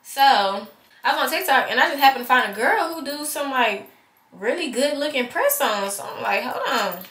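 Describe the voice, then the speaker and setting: A woman's voice: sits high, lively intonation, moderate pace, a bit bright, breathy edge. Congested teenage girl chatting on her vlog. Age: teens. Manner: chatty and candid, rambling asides. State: congested, stuffy nose. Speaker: vlogger. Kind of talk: casual vlog chat.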